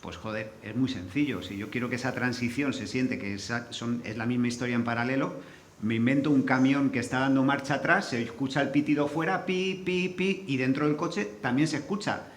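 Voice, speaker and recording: A man's voice: mid-range voice, brisk. Echoey, colloquial man from Spain. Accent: Spanish from Spain. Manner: colloquial, peppered with swearing. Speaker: sound designer and composer on a panel. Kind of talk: informal panel talk with anecdotes. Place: indoor forum venue. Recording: echoey room, faint background noise.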